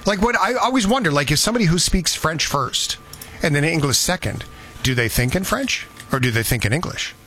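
A man's voice: on the high side, animated, brisk, pushed and bright. A man hosting a show, telling news stories in a casual, conversational way with incredulous rhetorical questions. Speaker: radio show host.

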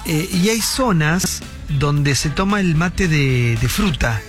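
A man's voice: fairly high pitch, animated, slow pace, faint music behind. Chatty Argentine man telling a story. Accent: Argentine Spanish. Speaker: radio co-host. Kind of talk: casual studio chat.

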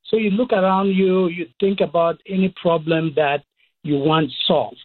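A man with a Cameroonian accent explaining things as an expert interview guest, deliberately, listing points in short phrases. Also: high-pitched, fairly even intonation. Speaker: expert guest, professor and AI researcher. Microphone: phone call. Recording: muffled, thin phone line.

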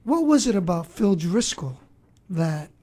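A woman hosting an interview, asking her guest gentle, probing questions. Her voice is mellow and husky. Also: lowish voice, sing-song, a little slow. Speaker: host.

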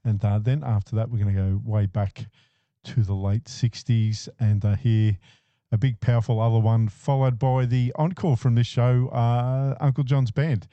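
Brief: easygoing man hosting community radio, very dark mellow voice, dull lo-fi broadcast sound. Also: mid-range voice, fairly even intonation, moderate pace, dry recording. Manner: easygoing, frequent uh and um fillers. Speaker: radio host. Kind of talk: casual radio DJ patter.

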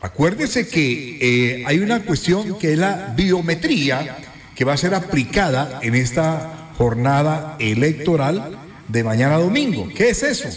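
Male morning radio host, chatty and animated, a bit higher voice, echoey studio. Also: a little slow.

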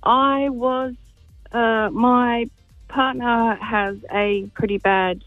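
Woman in her 40s, halting caller, tinny phone line, dry sound. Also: a little high, steady tone, dry recording, faint music behind. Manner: hesitant, halting, many pauses.

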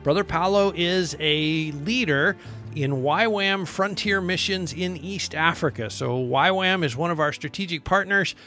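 Bright-voiced American male radio host. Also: fairly high pitch, measured pace, soft background music. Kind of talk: conversational radio interview. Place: radio studio.

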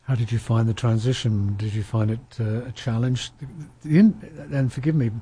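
A male interviewer with a mellow, husky voice asks long, reflective questions that wander before they land. He speaks at an everyday pitch, and his tone is soft and unforced. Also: steady tone, moderate pace. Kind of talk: conversational interview.

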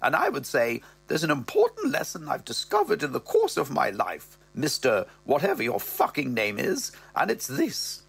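A grand, swooping old lady who drawls every word with imperious scorn, as a man puts her on. Her high voice soars and dips all over, with a gravelly, husky edge. The sound is dry and close. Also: huge pitch swings, fairly bright tone, faint music behind, full character voice. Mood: haughty, droll. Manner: imperious, drawn-out, scandalised. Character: posh elderly mother, haughty, tipsy, blunt.

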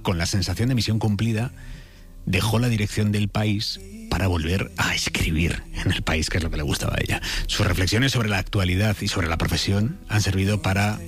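A man's voice: deep voice, steady tone, brisk, soft background music. A man with a Spanish accent from Spain, narrating an audio profile as a news presenter.

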